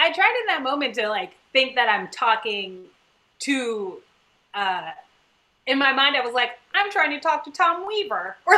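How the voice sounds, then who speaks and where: A woman's voice: high-pitched, pushed and bright. A woman actor in a casual panel conversation, explaining her craft in an emphatic, searching way. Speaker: stage actor in a cast discussion.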